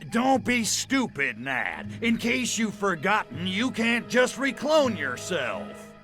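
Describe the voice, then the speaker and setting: A lecherous, wisecracking alien invader from a video game. His voice sits a little high and swings widely as he drawls out sardonic innuendo. Music plays prominently behind him. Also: wildly swooping pitch, slow pace, bright, forceful tone, full character voice. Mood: gruff, exasperated. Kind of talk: video game cutscene acting.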